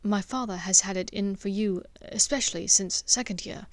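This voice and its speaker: A woman voicing an intense, sharp-tongued teenage boy wizard in an audiobook, urgent and commanding. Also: mid-range voice, moderate pace, dry recording, natural voice.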